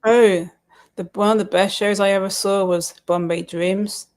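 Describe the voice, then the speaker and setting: A guest chatting casually over a call, talking haltingly and repeating words while recalling things. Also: medium pitch, fairly even intonation. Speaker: guest on a stream. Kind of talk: casual chat.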